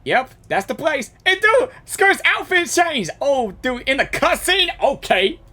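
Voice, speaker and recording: Animated woman streamer reacting to a game. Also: sits high, measured pace. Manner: excitable, slangy exclamations. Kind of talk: reaction commentary, reading dialogue aloud.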